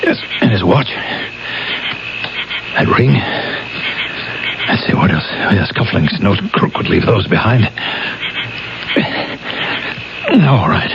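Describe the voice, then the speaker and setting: A man acting a brooding, guilt-ridden police captain in a 1970s radio drama, with dramatic, halting delivery. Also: deep voice, vocal fry, very noisy, full character voice. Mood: tense, furtive. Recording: muffled old radio broadcast, loud music behind.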